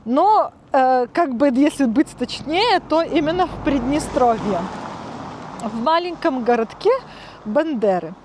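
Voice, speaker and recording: A woman's voice: high-pitched, expressive, a little slow, darkish tone, a little hiss or hum. A woman in her thirties from Transnistria, chatting casually to camera and explaining things step by step with plenty of fillers. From Transnistria, Moldova. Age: 30s. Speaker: vlogger. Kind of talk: casual vlog talk to camera. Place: outdoors and at home, walking.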